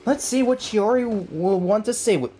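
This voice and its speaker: A woman's voice: mid-range voice, moderate pace, mellow. A woman giving casual, slangy, playful gameplay commentary as a streamer. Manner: slangy, playful, laughs often. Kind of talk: casual game reaction commentary. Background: game music.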